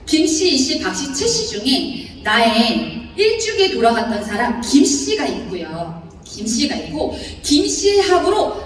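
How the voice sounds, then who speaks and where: A high-pitched woman with a Chungcheong accent lectures in a large hall. Her voice swings widely up and down as she laughs and quizzes the audience. She sounds distant, and a long echo hangs on every word. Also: measured pace. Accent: Chungcheong Korean. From Seocheon, South Chungcheong, Korea. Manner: lively, laughing often, asks the audience questions. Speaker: seminar speaker. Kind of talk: seminar lecture explaining a bonus chart. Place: large lecture hall before about 800 people. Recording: echoey hall recording from far off.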